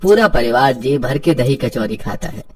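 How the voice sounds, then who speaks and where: A man's voice: medium pitch, expressive, normal speed, a little mellow, husky. A man narrating a scripted cartoon story as the storyteller.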